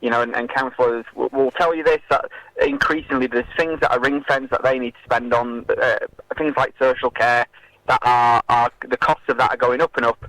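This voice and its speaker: Halting male political interviewee, dry close sound. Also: mid-range voice, a bit bright, no room sound, poor audio. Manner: hesitant, halting with many filled pauses. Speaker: political activist guest. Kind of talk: radio interview, political argument.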